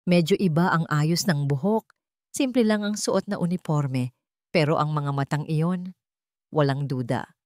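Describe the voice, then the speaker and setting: Low-pitched Filipino woman storyteller. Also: unhurried, a little mellow, dry recording, studio quality. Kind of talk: scripted dramatic narration.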